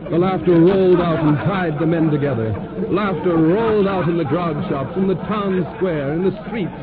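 A man's voice: fairly high pitch, normal speed. A man narrating an old radio drama in a solemn, rhythmic, dramatic cadence. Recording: tinny old radio recording, loud music. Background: loud music and crowd.

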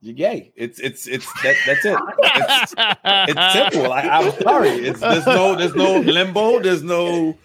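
Man, teasing, mock-reassuring, slightly high pitch, crowd chatter behind. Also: big pitch swings, a little slow. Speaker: participant in a group chat.

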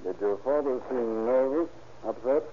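An actor playing a brisk, businesslike police captain in 1930s radio drama style. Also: male voice, mid-range voice, lightly acted. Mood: probing, firm. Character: brisk, businesslike male police captain leading a murder investigation. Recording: tinny, hissy old radio recording.

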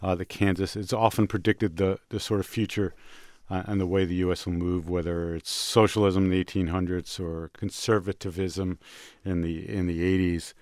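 Low-voiced man co-hosting a music show. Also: little pitch movement, moderate pace, darkish tone, no room sound, clean studio sound. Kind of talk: casual radio conversation about music. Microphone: close studio microphone.

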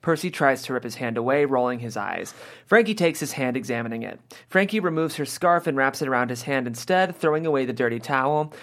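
A man's voice: medium pitch, animated, a little fast, a bit bright. A man narrating scene headings and stage directions at a script table read.

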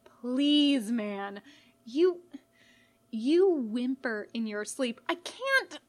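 A woman voicing a gentle, casual male skeleton monster in a story, earnest and puzzled. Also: high-pitched, very animated, very slow, full character voice. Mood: gentle, pleading.

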